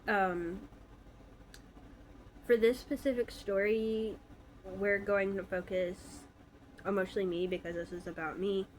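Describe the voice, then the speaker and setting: A woman's voice: medium pitch, steady tone, slow, pauses often, slight background noise, rough recording. A woman in her twenties from Alabama, stuffy from a cold, telling her own story in a casual, hesitant vlog with many fillers. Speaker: vlogger.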